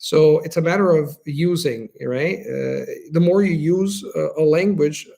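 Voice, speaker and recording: A man's voice: on the high side, measured pace, vocal fry, mellow. A man with an Italian accent, a native Italian speaker, hosting a live stream and answering viewer questions in an earnest, explanatory way. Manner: explanatory, earnest, restarts phrases while thinking.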